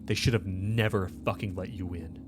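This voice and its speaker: Man voicing a tense, low-voiced, threatening woman agent. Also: moderate pace, over music, lightly acted. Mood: bitter, threatening. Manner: clipped, insistent, mumbling around a cigarette. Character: tense, threatening, foul-mouthed woman intelligence agent smoking.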